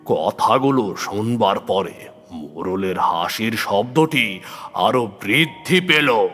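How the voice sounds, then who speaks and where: A man's voice: medium pitch, pushed and bright. A man narrating a scripted horror story in a theatrical, suspenseful way, leaning into dramatic emphasis. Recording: long reverb, music under the voice. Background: background music.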